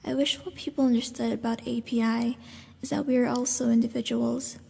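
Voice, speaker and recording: Husky, creaky woman in long reverb. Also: fairly high pitch, fairly even intonation, brisk, dark tone. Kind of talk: personal statement.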